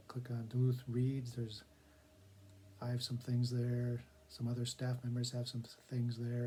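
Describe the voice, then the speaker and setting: A man's voice: mid-range voice, warm tone, slight background noise. A man reading aloud for a library, moving between casual introduction and dramatic, emphatic recitation of verse. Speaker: poetry reader for a public library reading project.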